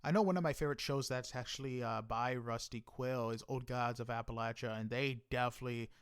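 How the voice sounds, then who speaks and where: A man's voice: medium pitch, fairly even intonation, fairly quick, smooth, no echo, studio quality. A man with an American accent, interviewing in an informal, chatty and enthusiastic way. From United States. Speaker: podcast interviewer. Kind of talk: casual interview chat.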